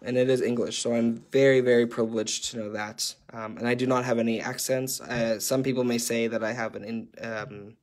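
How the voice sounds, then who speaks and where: A man's voice: mid-range voice, fairly even intonation, brisk, hardly any pauses. A young man with a Canadian accent leading an online workshop, speaking earnestly and hesitantly with many filler sounds. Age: young adult. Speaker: workshop facilitator.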